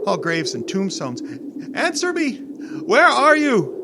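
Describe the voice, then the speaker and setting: A man's voice: high voice, huge pitch swings, takes it slowly, noisy background, full character voice. An actor playing a panicky, imperious rich man in old-time radio horror style, stammering and pleading. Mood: frightened, groping. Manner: stammering, pleading, theatrical gasps. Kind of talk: old-time radio horror acting before a live audience. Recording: long reverb of a live hall.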